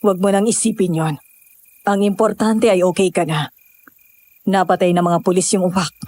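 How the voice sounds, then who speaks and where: A woman's voice: on the low side, fairly even intonation, normal speed, a little mellow, no echo, lightly acted. A woman playing a knowing, solemn villager who explains and cautions in a horror audio drama. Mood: solemn, explaining.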